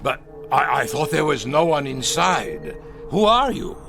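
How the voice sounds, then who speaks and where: A man acting in a radio drama as a nervous, middle-aged former SS captain posing as a professor, halting and repeating himself. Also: a little high, big pitch swings, creaky, exaggerated voice. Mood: uneasy. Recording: music behind the voice.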